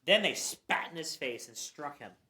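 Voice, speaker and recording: Low-voiced woman reading scripture aloud. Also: moderate pace. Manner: expressive reading with occasional stumbles. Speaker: scripture reader. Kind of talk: dramatic Bible reading. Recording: roomy echo.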